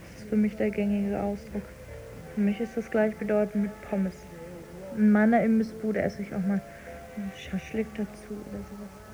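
A man's voice: high-pitched, completely flat delivery, normal speed, creaky, music in the background. A man making casual remarks on a dull, noisy cassette recording.

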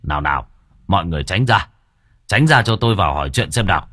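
A man voicing a stern older village chief who barks orders in a gruff, commanding way. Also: deep voice, expressive, fast, bright, forceful tone, no echo, studio quality, exaggerated voice.

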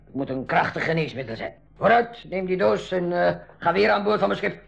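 A shrill, bellowing pirate captain. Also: male voice, expressive, brisk, gravelly, lo-fi sound, heavily acted. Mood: gruff, impatient. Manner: barking orders, blustering, commanding. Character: bossy, bellowing male pirate captain, gullible and brash. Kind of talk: 1980s school radio drama acting. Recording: noisy 1980s radio drama recording.

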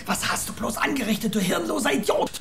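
Shrill, frantic cursing henchman. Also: expressive, quick pace, a bit bright, faint music behind, full character voice. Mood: frantic anger. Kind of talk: German radio drama acting.

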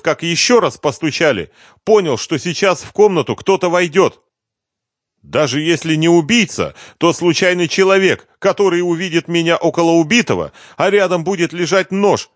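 A man's voice: a little high, lively intonation, normal speed, no room sound, studio quality, voice pushed up, lightly acted. A man voicing a frightened, hysterical male singer in an audiobook detective story, whining and pleading. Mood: anxious confession. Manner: pleading, whining, stammering protests. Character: frightened, hysterical, weepy male pop singer under questioning. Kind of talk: audiobook detective novel dialogue.

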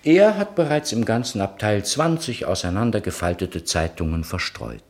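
A man narrating a radio play from a script, setting the scene in a measured way. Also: lowish voice, big pitch swings.

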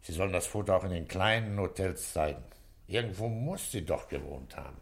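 Deep-voiced, commanding police commissioner. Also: male voice, lively intonation, fairly quick. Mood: brisk, commanding. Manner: terse, questioning, commanding. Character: calm, authoritative male Paris police commissioner, gruff and probing. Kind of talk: radio play acting.

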